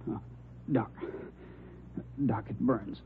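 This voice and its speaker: A man's voice: fairly low pitch, steady tone, slow. A man playing a dazed, dying traffic policeman, weak, gasping and in pain, in 1940s radio acting. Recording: tinny 1940s radio recording, faint noise.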